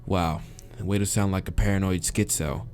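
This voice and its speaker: A man's voice: sits low, steady tone, moderate pace, darkish tone. A man narrating a visual novel aloud as a let's-play host, casual and crude, sometimes stumbling over his words. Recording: music behind the voice. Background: game music.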